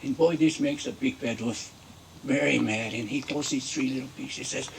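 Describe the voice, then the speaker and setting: A man playing a Mexican-American storyteller who tells a comic fairy tale in broken English, with drawn-out, repetitive folksy phrasing. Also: medium pitch, creaky, recorded from a distance, a little hiss or hum, voice pushed down, close to an everyday voice, poor audio. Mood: comic indignation. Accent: put-on Mexican-American.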